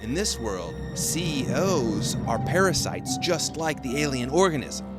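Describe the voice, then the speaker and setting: A man's voice: mid-range voice, sing-song, measured pace. Man narrating a video essay over music. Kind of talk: scripted commentary with casual asides.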